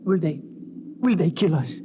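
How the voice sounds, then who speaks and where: A timid, frightened young Frenchman in a vintage radio drama. The voice is fairly high and pleading, on a muffled recording with faint noise. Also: lively intonation, unhurried. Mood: fearful. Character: sensitive, frightened young Frenchman of twenty-two. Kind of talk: old-time radio drama acting.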